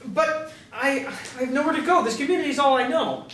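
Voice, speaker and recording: A man's voice: very high voice, brisk, fairly bright tone. A man playing a naive, frightened young villager cast out of a cult, telling his story earnestly in a staged play reading. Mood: pleading. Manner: earnest storyteller, stammering when pleading. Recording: roomy, distant stage recording with noise.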